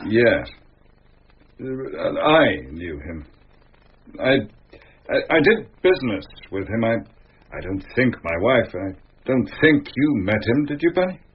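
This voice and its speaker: An actor playing a defensive, haughty country gentleman in a radio drama, speaking with indignant, clipped upper-class bluster. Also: mid-range voice, very animated, unhurried, long pauses, husky, lo-fi sound. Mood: shaken.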